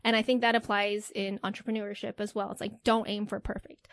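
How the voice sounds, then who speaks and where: A woman's voice: medium pitch, a little fast, no room sound. A young woman, an interview guest, telling stories in casual chat and often adding "right?". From United States. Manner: animated storytelling, frequent "right?" tags.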